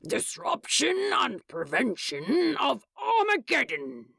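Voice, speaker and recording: A woman voicing an officious, easily pleased crocodile demon caseworker in an audiobook, with a deliberate bureaucratic manner. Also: high voice, wildly swooping pitch, gravelly, fairly bright tone, no echo, clean studio sound, voice pushed up, heavily acted.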